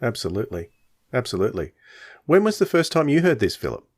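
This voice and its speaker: A man's voice: medium pitch, sing-song, fast talker, dry recording, clean studio sound. A man hosting a podcast, chatting casually and putting questions to his guests. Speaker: podcast host. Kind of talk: casual chat.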